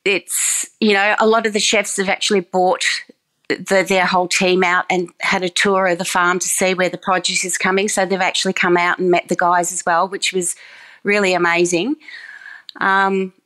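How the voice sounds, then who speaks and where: A woman's voice: on the low side, flat delivery, moderate pace, fairly bright tone. A woman speaking casually in an interview, chatty, with many ums and restarts. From Australia. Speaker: farm program coordinator.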